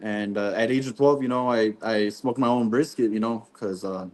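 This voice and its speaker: A man, a barbecue pitmaster guest, talking in a relaxed, rambling way and saying "you know what I mean" often. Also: fairly low pitch, moderate pace. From Texas. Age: 20s. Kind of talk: casual podcast interview.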